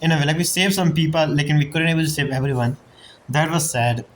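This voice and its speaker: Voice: medium pitch, fast, a little hiss or hum. A streamer giving casual, joking commentary over a game, rambling a little. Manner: joking, rambling, laughs often. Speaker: gamer streamer.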